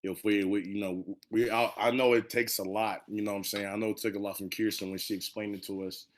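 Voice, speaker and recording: A man giving casual, heartfelt remarks on a panel, halting and repeating phrases for emphasis. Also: on the low side, level tone. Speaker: monologue storyteller on a panel.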